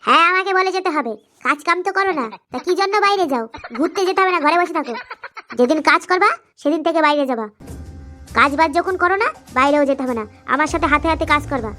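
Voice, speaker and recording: A woman plays a furious young housewife from a comedy cartoon, firing off combative retorts in a high, bright voice at breakneck speed. She scolds without pause and gives no ground. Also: expressive, faint music behind. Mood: scolding. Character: angry, sharp-tongued daughter-in-law, a scolding housewife. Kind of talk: amateur comedy cartoon acting.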